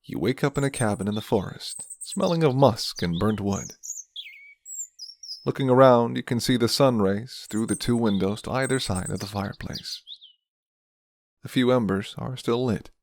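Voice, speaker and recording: A man who makes ASMR roleplays, talking and narrating softly. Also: medium pitch, moderate pace, a little hiss or hum. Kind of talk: soft ASMR talk and storytelling.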